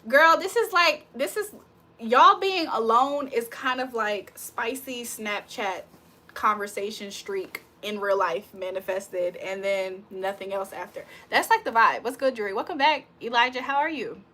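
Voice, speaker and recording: Brief: woman, livestream tarot reader, chatty and slangy, bright voice pitched a bit high. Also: unhurried. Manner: chatty, reads viewer comments aloud, slangy. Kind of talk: casual livestream chat.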